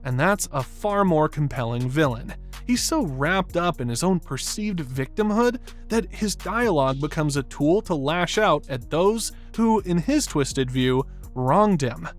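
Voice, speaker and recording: A man's voice: on the high side, lively intonation, unhurried. A man delivering scripted narration for an analytical video essay. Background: background music.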